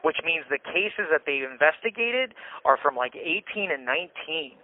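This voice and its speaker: A man's voice: a little high, animated, normal speed, no echo. American man on a tinny phone line. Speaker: jail inmate on a phone call. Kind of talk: casual, venting phone conversation. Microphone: telephone handset. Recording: tinny, band-limited jail phone line.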